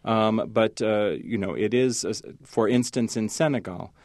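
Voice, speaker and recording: A man who is a journalist appears as a guest in a radio interview. He speaks haltingly, with many fillers and restarts. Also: lowish voice, darkish tone, dry recording, studio quality.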